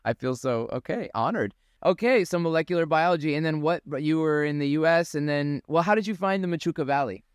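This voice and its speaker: A man's voice: fairly high pitch, expressive, fast talker, hardly any pauses, clear, resonant tone, dry recording, studio quality. A man hosting a podcast, asking curious, easygoing questions with lots of fillers. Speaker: podcast host. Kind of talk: conversational interview.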